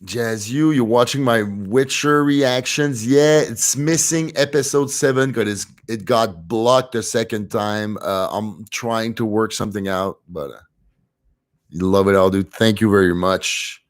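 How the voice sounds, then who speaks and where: A young man with a French accent, his first language being French, hosts a relaxed livestream, chatting in English to his viewers. His voice sits a little low, and he talks in an easygoing, chatty way with soft laughs. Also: measured pace. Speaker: livestream host reading chat. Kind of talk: casual livestream chat.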